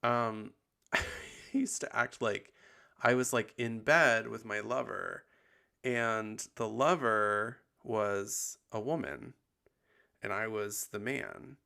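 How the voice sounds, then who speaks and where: A man hosting a podcast in casual confessional chat, sounding husky and tired after bronchitis, rambling with lots of likes and ums. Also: lowish voice, takes it slowly, sharp tone. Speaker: podcast host.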